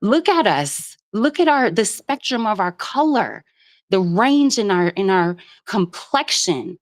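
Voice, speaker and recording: A woman from Jamaica calling in to a live show, speaking earnestly and at length, with many pauses and "um"s. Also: medium pitch, very animated. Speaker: caller. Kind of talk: casual call-in commentary.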